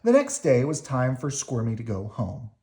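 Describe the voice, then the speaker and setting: A woman reading a picture book aloud as an expressive narrator for children. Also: extremely low pitch, moderate pace. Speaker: storybook reader.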